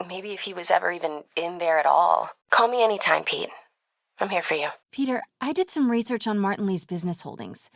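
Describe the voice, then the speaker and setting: An American actress playing a young woman reporter in a video game, caring, wry and quick-witted, in natural conversational dialogue. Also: medium pitch, a bit bright, dry recording. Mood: gentle, sympathetic.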